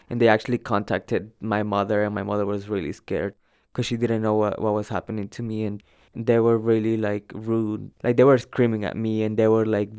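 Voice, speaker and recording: A young adult man recounts his own experience in a conversational, slightly hesitant manner. His voice sits fairly low and sounds clear and smooth. He often doubles a word for emphasis as he goes. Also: a little mellow. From El Salvador. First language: Spanish. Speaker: storyteller recounting own migration. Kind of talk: informal personal narrative.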